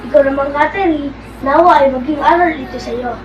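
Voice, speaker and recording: A young performer voicing a gentle, wise old beggar man who lectures kindly, in a school puppet show. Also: high voice, brisk, music in the background, lightly acted. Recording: distant echoey lo-fi with loud background noise.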